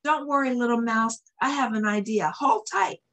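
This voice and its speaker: A woman voicing a big, gentle, protective bear in a picture-book read-aloud, speaking earnestly and reassuringly. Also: on the high side, normal speed, voice pushed up, natural voice. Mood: urgent, reassuring.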